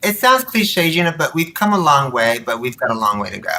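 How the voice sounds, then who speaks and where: Bright-voiced woman guest telling stories casually. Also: deep voice, a little fast. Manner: animated, quotes dialogue while telling anecdotes. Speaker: podcast guest, nonprofit board member. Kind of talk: casual chat, storytelling.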